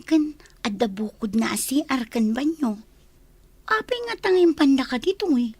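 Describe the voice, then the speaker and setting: A woman playing a chatty, wide-eyed housemaid in a radio comedy drama, with an expressive, admiring manner. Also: sits high, normal speed, slightly rough, no echo.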